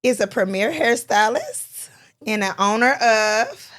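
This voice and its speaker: A woman's voice: fairly high pitch, expressive, fairly bright tone. A woman hosting a casual salon-industry podcast. She chats easily, laughs often and stumbles a little over read-out introductions. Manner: chatty, laughs often, reads introductions haltingly. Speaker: podcast host. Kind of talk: casual chat.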